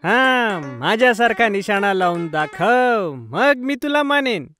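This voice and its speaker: Cheeky cartoon schoolboy, played by a man, very high voice, boastful and playful, music underneath. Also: very animated, slow, bright, forceful tone, exaggerated voice. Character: cheeky, boastful young schoolboy, food lover. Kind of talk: children's cartoon story acting.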